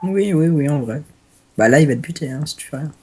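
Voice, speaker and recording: A young man with a cold chatting slowly and crudely while gaming, hesitant with fillers. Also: on the high side, expressive, dark tone, slight background noise. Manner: slow, hesitant, crude banter. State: stuffy, has a cold. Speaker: gaming guest. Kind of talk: casual gaming chat.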